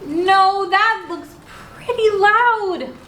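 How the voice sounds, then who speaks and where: A woman hosting a children's story time, reading aloud in simple, instructive language and pausing to put questions to her listeners. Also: very high voice, pushed and bright. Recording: roomy echo, clear background noise.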